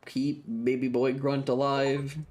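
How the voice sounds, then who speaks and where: A woman's voice: a bass voice, flat delivery, takes it slowly, a little mellow, faint music behind. A woman streamer giving casual, reactive commentary on a video game, playful and slangy with some swearing.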